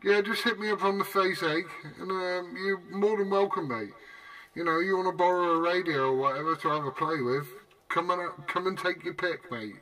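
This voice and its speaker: A man's voice: high voice, normal speed. A British man, a CB radio operator, making matey, slangy small talk in an informal, casual way. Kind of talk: casual banter and chat. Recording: noisy recording with radio and vehicle ambience.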